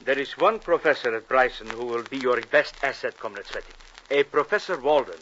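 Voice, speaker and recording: An actor playing a cold, menacing Soviet agent. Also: male voice, medium pitch, lively intonation, a little fast, fairly bright tone, faint music behind, close to an everyday voice. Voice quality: clipped, coldly commanding. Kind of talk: 1950s radio drama acting. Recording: dull old radio broadcast with hiss.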